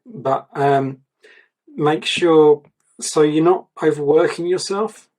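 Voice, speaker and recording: A man reviewing an artist's portfolio, talking in a rambling, repetitive way, his voice going a little croaky. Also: a little high, takes it slowly, a little mellow, poor audio. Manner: rambling, repeats words, gives advice. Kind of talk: casual mentoring chat.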